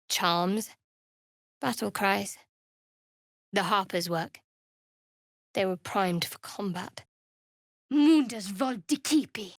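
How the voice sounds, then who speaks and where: A young female cleric sworn to a dark goddess in a fantasy video game. Her voice sits a little high and is captured very dry and close. She is guarded and sardonic, with a measured, dramatic delivery. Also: dry recording. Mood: wry, businesslike, then fierce, commanding.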